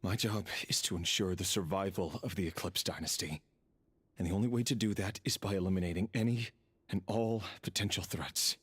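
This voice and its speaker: A man playing a gloomy, weary intelligence operative in a video game, dutiful and defensive in a brooding, halting way. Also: low voice, slightly rough. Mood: defensive, insistent. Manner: brooding, halting, self-justifying. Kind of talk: dramatic video game acting.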